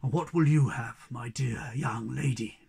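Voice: mid-range voice, unhurried, vocal fry, darkish tone, husky, pitched below the performer's own voice, heavily acted. Storyteller as a kindly, courteous hobgoblin magician. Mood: kindly, assured. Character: kindly, composed magician hobgoblin granting wishes.

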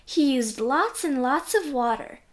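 Lady reading a kids book out loud, super lively and unhurried. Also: high-pitched. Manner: animated, acting out sound effects. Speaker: reader of children's books. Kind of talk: expressive storybook read-aloud.

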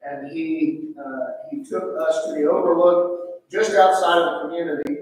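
Man in his thirties, halting reading, many uhs, slightly high voice, booming church echo. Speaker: pastor reading a missionary letter. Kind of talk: reading a letter aloud in church. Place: large church sanctuary. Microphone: pulpit mic, filmed from far back. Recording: echoey sanctuary from far off.

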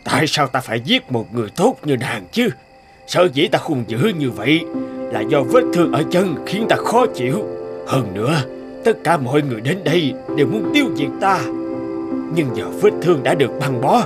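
A man voicing a huge monster, gruff but good-hearted, in a fairy-tale cartoon. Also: medium pitch, sing-song, moderate pace, loud music behind the voice, close to an everyday voice. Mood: softened, grateful.

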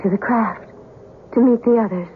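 An actress playing a serene, loving visitor from another world, speaking solemnly and tenderly in a 1970s radio drama. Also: female voice, on the high side, moderate pace, slight background noise, close to an everyday voice. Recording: narrowband old radio with music behind.

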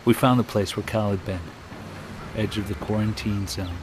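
A man playing a grim soldier narrating his war in measured first person for a video game. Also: on the low side, steady tone, close to an everyday voice. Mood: grim, brooding. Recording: loud noisy ambience behind the voice.

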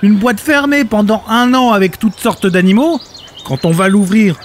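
A man's voice: sits high, normal speed, a little hiss or hum, natural voice. An actor playing an exasperated, sarcastic everyman who grumbles in colloquial speech in a comedic audio drama.

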